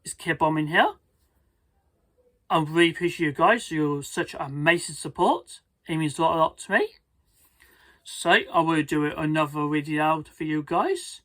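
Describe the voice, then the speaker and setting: A storybook reader and video host with a halting, careful delivery and stumbles, reading aloud in a husky voice. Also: mid-range voice, fairly bright tone, no echo. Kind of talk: read-aloud storybook narration.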